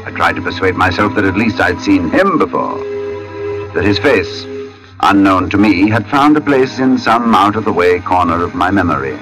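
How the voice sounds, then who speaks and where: Male, low register, expressive swings, as a haunted, brooding storyteller in vintage radio suspense, music underneath. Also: poor audio. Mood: uneasy, haunted. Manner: brooding, deliberate, halting when shaken. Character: uneasy male sketch artist narrating an eerie tale. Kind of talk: 1940s radio suspense acting. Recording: old radio recording with music behind.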